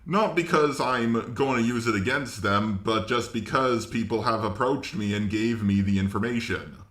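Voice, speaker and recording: Guy doing casual reaction commentary, bright forceful voice, roomy echo. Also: mid-range voice, moderate pace. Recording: roomy, echoey voice recording.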